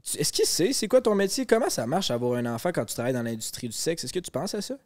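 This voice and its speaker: A man's voice: fairly high pitch, big pitch swings, quick pace, darkish tone. A man with a Quebec French accent hosting a podcast, asking questions in a joking, teasing way in a small studio. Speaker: podcast host. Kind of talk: casual chat.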